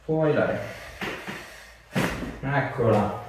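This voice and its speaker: A man's voice: mid-range voice, animated, takes it slowly. A man, working as a chiropractor and giving short informal instructions while checking for pain. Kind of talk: hands-on treatment talk with short instructions. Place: small tiled treatment room indoors. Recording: echoey room, distant mic, faint noise.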